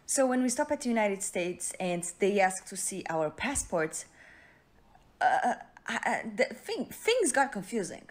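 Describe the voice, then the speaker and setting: A woman's voice: mid-range voice, sing-song, unhurried. A woman from Portugal with a Portuguese accent, casually telling a story in English to camera.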